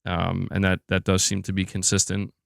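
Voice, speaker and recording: A man's voice: deep voice, completely flat delivery, moderate pace, vocal fry, dry recording, clean studio sound. A man hosting a gaming news stream, talking casually and informally as if chatting with viewers. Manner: informal, conversational, responding to chat. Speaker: host of a gaming news livestream.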